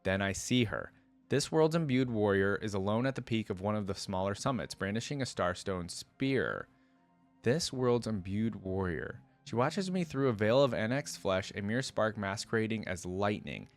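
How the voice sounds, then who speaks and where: A man's voice: on the low side, animated, moderate pace, faint music behind. A man giving reflective reaction commentary and reading game lore aloud in a casual style.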